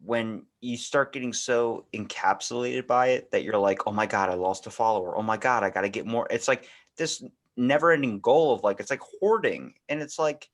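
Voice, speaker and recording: A man's voice: medium pitch, moderate pace. An American man hosting a podcast, talking in a casual, rambling conversational way. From United States. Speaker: podcast host.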